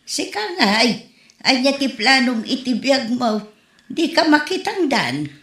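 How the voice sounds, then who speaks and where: A woman's voice: high voice, big pitch swings, a little slow, a bit bright, exaggerated voice. A woman playing an exasperated, scolding mother of grown daughters in a comedy audio drama. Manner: nagging, chiding. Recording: echoey, roomy sound.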